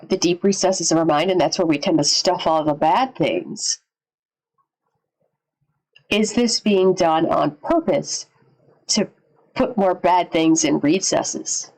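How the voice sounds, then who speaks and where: A woman giving casual live reaction commentary as a streamer, informal and sweary as she thinks out loud. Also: fairly low pitch, low-quality recording. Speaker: streamer reacting to and analysing horror videos.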